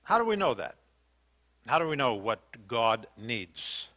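A man's voice: mid-range voice, big pitch swings, measured pace, bright, forceful tone. An older American man preaching a sermon in a church, earnest and questioning, with hesitant fillers and restarts. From United States. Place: church sanctuary, indoors.

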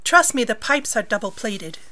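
Eager, high-voiced female gadget maker. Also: very animated, moderate pace, pushed and bright. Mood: confident. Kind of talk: audio drama acting.

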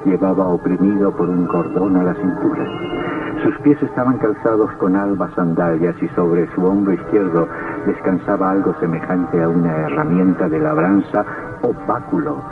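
An actor playing a sinister, darkly gloating count who narrates an old radio horror drama in a theatrical, macabre manner. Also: male voice, sits low, moderate pace, over music. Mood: macabre, brooding. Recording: tinny, distant old radio recording.

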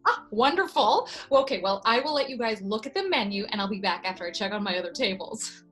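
A woman's voice: medium pitch, expressive, fast, a bit bright, faint music behind, close to an everyday voice. A woman playing a perky, breezily intrusive waitress in a video-call comedy sketch, with chirpy customer-service politeness. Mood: breezily polite. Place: indoors, in front of a curtain, on a video call.

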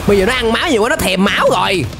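Young male reaction host, Southern Vietnamese accent, high voice, exclamatory, over a loud soundtrack. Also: very fast. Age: young adult. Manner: exclamatory, animated. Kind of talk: excited reaction commentary. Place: indoor room, gaming setup. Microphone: close desk microphone. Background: music and cartoon soundtrack.

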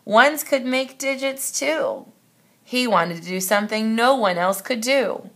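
A woman reading a children's story aloud in an expressive narrating style. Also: fairly high pitch, a little slow. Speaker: storybook reader.

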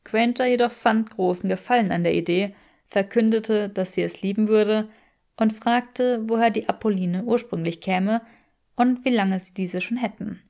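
A woman's voice: medium pitch, normal speed, darkish tone. A woman reading fiction aloud as an audiobook narrator.

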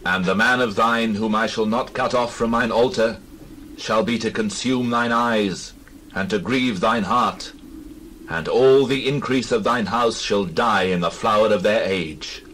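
Stern prophet for Bible audio drama: low, solemn, declamatory, birds and noise behind. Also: male voice, a little slow, a bit bright, low-quality recording. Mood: solemn judgment. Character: stern holy prophet delivering God's judgment.

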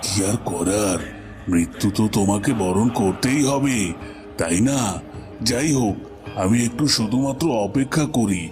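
A wicked cartoon sorcerer, acted by a man, gloats over his schemes in a low voice. He draws out his threats with mock pity and menace, over prominent music. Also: expressive. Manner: menacing, drawn-out, mock-pitying. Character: gloating, scheming evil male tantric sorcerer. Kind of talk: dramatic cartoon voice acting. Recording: music bed under the voice.

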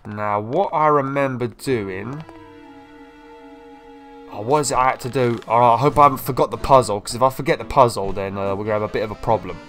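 A young man with a slight cold, casually commentating a video game walkthrough in an excitable, chatty way. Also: medium pitch, fairly quick, faint music behind. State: slightly ill, sniffly. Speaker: gameplay video host. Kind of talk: casual let's-play commentary.

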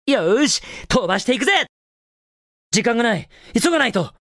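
A man's voice: very high-pitched, lively intonation, a little fast, fairly bright tone, dry recording, clean studio sound, full character voice. A male actor voicing a cocky, daring young cartoon hedgehog hero in a video game, with cool, confident, casual delivery. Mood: urgent, determined. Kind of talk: video game voice acting, short in-game lines.

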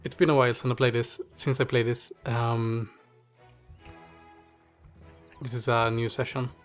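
A man's voice: mid-range voice, little pitch movement. Stuffy-nosed guy rambling through a strategy game, lots of ums, bit of music under it. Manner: halting, thinking aloud with many fillers. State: stuffy nose, strained voice. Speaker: gaming channel host. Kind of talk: casual gameplay commentary.

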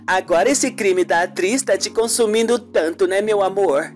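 A man's voice: high voice, normal speed, faint music behind, lightly acted. Man playing a tender, teasing woman in love. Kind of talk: amateur radio soap opera acting.

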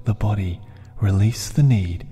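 Slow, low, husky man guiding meditation. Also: warm tone. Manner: soothing, deliberate, with long pauses between short phrases. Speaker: guided meditation narrator. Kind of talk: hypnotic guided meditation. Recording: long reverb, music bed underneath. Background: music.

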